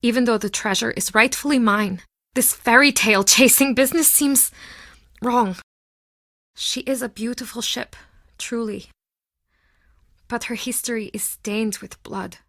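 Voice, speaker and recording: A woman's voice: a little high, a little slow, pauses often, fairly bright tone, no echo, clean studio sound. An actress playing a proud, guarded townswoman nursing old wounds in a video game. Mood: proud, earnest, then wistful, subdued.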